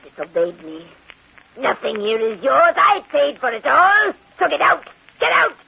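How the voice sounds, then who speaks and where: A woman's voice: high voice, very animated, moderate pace, vocal fry, bright, forceful tone, husky, full character voice. An actress playing an imperious, betrayed woman of wealth in melodramatic, commanding 1940s radio style. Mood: furious. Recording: muffled vintage 1940s radio recording.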